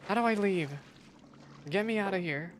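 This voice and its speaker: A woman's voice: medium pitch, moderate pace, background noise. A woman giving casual, slangy, reactive commentary as she plays a video game. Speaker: gaming video creator. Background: game music and dialogue.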